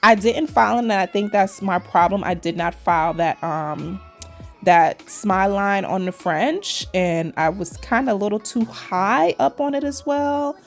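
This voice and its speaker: A chatty woman in her forties with a Trinidadian accent blended with New York, talking to viewers as she works on nails. She keeps up a loose, friendly flow and calls her audience y'all. Soft music plays faintly behind her. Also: medium pitch, a little slow, gravelly. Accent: Trinidadian mixed with New York. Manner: chatty, addresses viewers as cuties, says y'all. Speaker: nail vlogger. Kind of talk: casual chat while doing nails.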